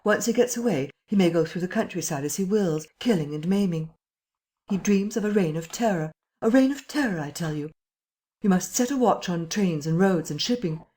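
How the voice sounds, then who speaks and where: A frantic, insistent man who rattles off urgent commands, as a woman reader puts him on. The voice sits well above the reader's own low tone and is pushed hard. It is a storybook figure, read aloud rather than played in a studio drama. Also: normal speed, dark tone, breathy edge. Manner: urgent, pressing, breathless commands.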